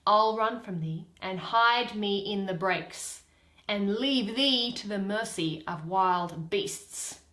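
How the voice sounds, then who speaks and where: A woman's voice: medium pitch, slow pace, a bit bright, reverberant. A woman playing an exasperated young Athenian man in a dramatic Shakespeare reading, emphatic and declamatory. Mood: impatient. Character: scornful young Athenian man, exasperated, spurning a lovesick pursuer.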